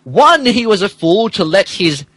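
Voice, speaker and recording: A man's voice: high-pitched, big pitch swings, unhurried, fairly bright tone, breathy edge, no room sound. A man narrating fan fiction as a comedic dramatic reading, stumbling over words and adding asides. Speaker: podcast host reading as narrator.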